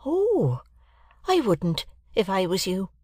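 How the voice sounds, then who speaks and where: A man voicing a quiet, gentle young village woman, speaking softly and sorrowfully in a storybook reading. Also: high voice, wildly swooping pitch, takes it slowly, no room sound, clean studio sound, pitched above the performer's own voice, full character voice. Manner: soft, mild and sorrowful.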